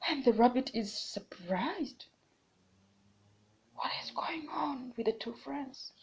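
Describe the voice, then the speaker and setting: A woman reading a children's book aloud in an expressive, animated storytelling manner, pausing so a child can join in. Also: sits high, creaky.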